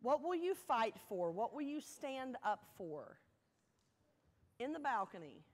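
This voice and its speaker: A woman's voice: a little high, big pitch swings, a little slow. A woman hosting a live event, speaking to an audience in an encouraging, direct way and prompting them to take part. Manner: encouraging, direct, asks questions of the crowd. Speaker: host, speaker leading an audience. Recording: echoey hall with audience noise.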